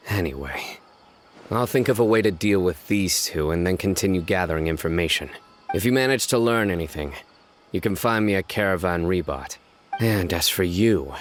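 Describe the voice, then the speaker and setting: A grim game lawman with a very low, deep voice. He speaks curtly and formally, issuing commands and questions without softness. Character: stern young male lawman, grim and relentless. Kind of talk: video game voice acting.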